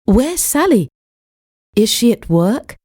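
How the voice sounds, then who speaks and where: Slow, mellow woman reading a lesson. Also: a little high, very animated, husky, no echo, studio quality. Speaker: language course narrator and dialogue reader. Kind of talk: slow, clearly read textbook dialogue.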